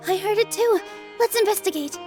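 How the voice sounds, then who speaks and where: An upbeat young woman, very high sweet voice, earnest and encouraging, faint music behind. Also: a little fast. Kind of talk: game voice acting.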